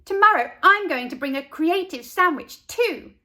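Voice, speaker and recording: Woman putting on a shrill, excitable little schoolgirl. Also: normal speed, full character voice. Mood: excited. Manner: exclaiming, emphatic. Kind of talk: storybook character voice.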